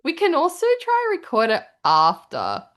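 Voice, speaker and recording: A woman co-hosting a podcast in casual chat, candid and giggly, with a low voice and casual swearing. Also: huge pitch swings, moderate pace, a bit bright. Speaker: podcast co-host.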